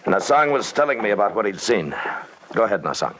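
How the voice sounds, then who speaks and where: An actor playing an earnest, businesslike expedition leader who narrates and talks in an old-time radio drama. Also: male voice, fairly low pitch, sing-song, fairly quick, background noise.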